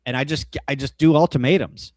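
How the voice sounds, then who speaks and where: A man's voice: medium pitch, fairly even intonation, a little fast, no room sound, clean studio sound. A man in a casual panel chat, talking bluntly and with strong opinions as a guest explainer. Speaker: guest explaining amateur radio contests.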